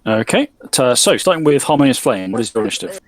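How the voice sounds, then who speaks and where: A man's voice: medium pitch, fast. A man running a tabletop role-playing game as game master, explaining the scene and calling for rolls. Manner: steady, explanatory, calls for rolls.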